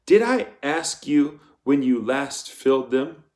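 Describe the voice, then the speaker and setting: Male reader as female camp warden for audiobook; slow, soft-spoken, icy courtesy with quiet threat. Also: mid-range voice, expressive, voice pushed up. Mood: icy impatience. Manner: slow, deliberate, coldly polite. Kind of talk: audiobook character voice.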